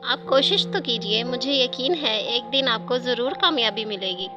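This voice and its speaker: A woman plays a supportive wife in a cartoon moral tale. Her high voice is gentle and reassuring, full of encouragement. Music plays clearly behind her. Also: lively intonation, normal speed, muffled recording, natural voice. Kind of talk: cartoon moral story dialogue.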